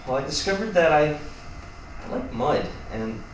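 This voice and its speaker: A man plays a guilty, sheepish male roommate in a naturalistic drama. He stammers and hesitates, and he is heard from a distance in a very echoey room with clear noise behind him. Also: fairly high pitch, very animated, moderate pace. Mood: nervous, guilty. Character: sheepish, guilty male roommate confessing odd habit, desperate. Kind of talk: naturalistic acted scene.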